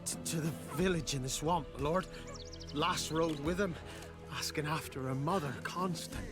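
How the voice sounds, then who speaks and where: A man's voice: fairly high pitch, normal speed, music in the background, close to an everyday voice. An actor playing a nervous, deferential underling in a fantasy video game, stammering to his lord. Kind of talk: dramatic game acting.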